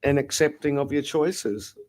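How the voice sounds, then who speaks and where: A man's voice: fairly high pitch, unhurried, a little mellow. A man chatting casually and reassuringly on a late-night stream, swearing now and then.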